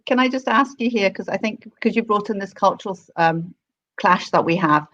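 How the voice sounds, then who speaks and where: A woman's voice: medium pitch, animated, moderate pace, fairly bright tone, muffled recording. A woman hosting an interview, asking hesitant, searching questions full of ums. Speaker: interview host. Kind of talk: conversational interview.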